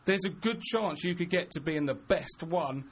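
A man's voice: a little high, lively intonation, moderate pace, slight background noise. A man hosting a radio show, chatting playfully with a guest in the studio and hyping him up. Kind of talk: casual studio chat.

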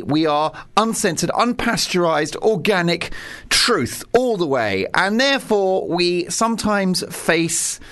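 A man's voice: high voice, fairly bright tone. Brash, jokey radio host man. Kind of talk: chatty talk radio presenting.

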